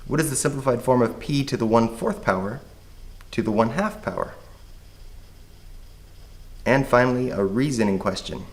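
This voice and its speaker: A man with a hoarse voice, patiently explaining a math lesson step by step. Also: mid-range voice, normal speed, pauses often, poor audio. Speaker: math teacher.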